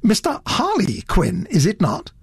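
A man voicing a small, fussy elderly gentleman who talks in a prim, fluttery, eager way. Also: high-pitched, huge pitch swings, a little slow, vocal fry, slightly rough, no echo, studio quality, pitched above the performer's own voice, full character voice. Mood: delighted.

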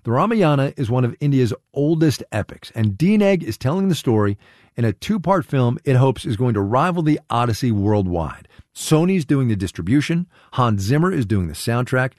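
A man's voice: mid-range voice, very animated, normal speed, a little mellow, no echo, studio quality. A man working as a radio reporter, reading scripted narration in a conversational storytelling way with short, punchy phrases.

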